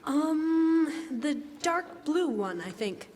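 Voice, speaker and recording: A woman's voice: high voice, sing-song, very slow, a little mellow, heavily acted. A young woman playing a sweet, polite, bewildered teenage girl, earnest and theatrical in a classic-style radio play. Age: teens. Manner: wide-eyed, earnest, theatrical. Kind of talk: live stage radio drama in classic style. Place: stage in a hall, live performance. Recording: echoey stage hall recording.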